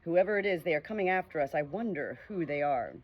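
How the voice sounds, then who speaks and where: A woman voicing a brisk, knowing female duck in a storybook reading. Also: deep voice, lively intonation, highs cut off. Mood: alarmed.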